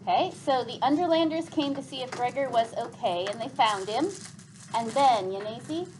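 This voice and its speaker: A woman teacher reading a story aloud to pupils in an expressive, clearly enunciated way. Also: on the high side, moderate pace, background noise.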